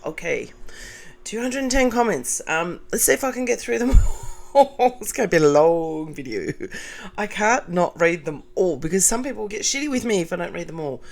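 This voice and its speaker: A middle-aged Australian woman with a lowish, nasal, croaky morning voice. She reads out viewer comments in a casual vlog and answers them with blunt, sarcastic asides. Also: very animated, normal speed. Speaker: commentary vlogger.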